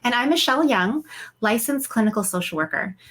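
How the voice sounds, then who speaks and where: A woman's voice: on the high side, expressive, a little fast, fairly bright tone. A woman in her forties co-hosting a chat show, talking casually with many "um" fillers. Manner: frequent "um" fillers, laughs easily. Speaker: podcast co-host, clinical social worker.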